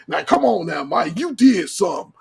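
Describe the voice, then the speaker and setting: A man's voice: sits high, normal speed, vocal fry, slightly rough. An American man hosting a podcast, telling comic stories among friends in a profane, slangy way and acting out what people said. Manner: animated, profane, slangy, acts out quoted exchanges. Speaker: podcast host.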